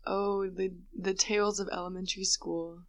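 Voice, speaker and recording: An American woman in her twenties co-hosting a casual chat with a friend, in a somewhat deep, monotone voice. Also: moderate pace. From United States. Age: 20s. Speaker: podcast co-host.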